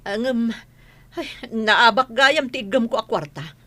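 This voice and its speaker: A woman's voice: a little high, lively intonation, unhurried, pushed and bright. An actress playing a careless, defensive wife and mother in a radio drama, flippant in manner.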